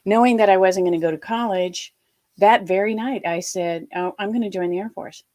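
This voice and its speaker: A woman in her fifties from Ohio, a guest telling her life story in an interview, animated and full of quoted asides. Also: mid-range voice, a little fast. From Ohio, USA.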